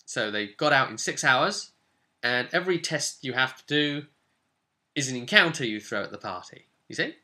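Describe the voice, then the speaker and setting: A man speaking casually as a game master, recounting a tabletop campaign in an animated, explanatory way straight to the listener. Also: mid-range voice, normal speed. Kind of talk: casual storytelling to camera.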